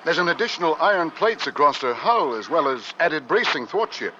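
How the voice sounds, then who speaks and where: An actor playing a dutiful ship's navigator narrating an Arctic voyage in formal, dramatic old-time radio style. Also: male voice, a little high, sing-song, a little fast, background noise. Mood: grave, measured. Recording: dull vintage radio recording with music.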